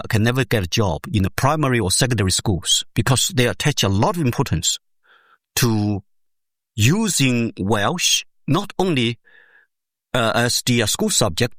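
A man in an academic interview, speaking English as a second language and calmly explaining his research step by step, pausing often with "uh" and making small grammar slips. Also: medium pitch, no echo, clean studio sound. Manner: measured, explains step by step with frequent "uh" pauses. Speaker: education researcher, interview guest.

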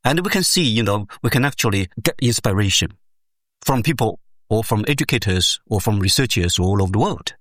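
A man in an academic interview, speaking English as a second language and calmly explaining his research step by step, pausing often with "uh" and making small grammar slips. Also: lowish voice, no echo, clean studio sound. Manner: measured, explains step by step with frequent "uh" pauses. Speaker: education researcher, interview guest.

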